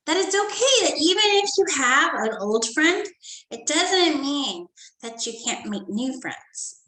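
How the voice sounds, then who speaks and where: A woman teacher reading a story to young children and going over a study guide, talking gently and checking in with "okay?" often. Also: high-pitched, big pitch swings, unhurried, reverberant, low-quality recording. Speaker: first grade teacher.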